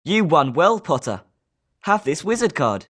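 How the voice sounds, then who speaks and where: A woman's voice: low voice, big pitch swings, measured pace, husky, heavily acted. A woman with a British accent voicing a confident, sporting schoolboy wizard with a competitive streak in a video game. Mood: congratulatory. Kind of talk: scripted video game character lines.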